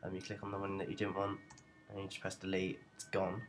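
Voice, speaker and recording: A young adult man giving an informal, unscripted software tutorial in a hesitant, rambling way, as a presenter walking through each step. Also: deep voice, monotone, fast. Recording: noticeable background noise.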